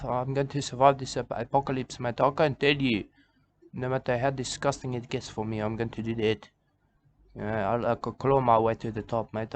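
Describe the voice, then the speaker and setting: A man's voice: medium pitch, slight background noise. A man giving rambling comedic commentary on a video, circling back on the same phrases in broken grammar.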